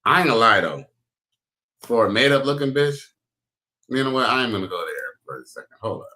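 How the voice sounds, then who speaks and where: A man with a very deep baritone giving loud, crude, slangy reaction commentary as host. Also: big pitch swings, brisk.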